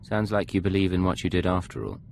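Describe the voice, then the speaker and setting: A man's voice: low-pitched, animated, quick pace, gravelly, darkish tone, slight background noise. A man playing a cool, terse intelligence agent in a video game, speaking in a controlled, understated way. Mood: probing. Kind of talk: video game dialogue acting.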